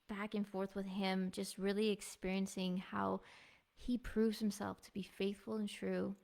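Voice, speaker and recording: A woman in her thirties giving a heartfelt personal devotional testimony in an earnest, soft-spoken way. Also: mid-range voice, gravelly. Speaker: devotional speaker and music minister. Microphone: clip-on mic.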